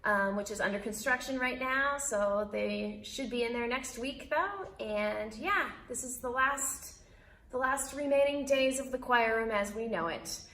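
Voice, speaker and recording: A woman's voice: fairly high pitch, measured pace, sharp tone. A woman choir teacher talking informally in an interview. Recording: echoey room.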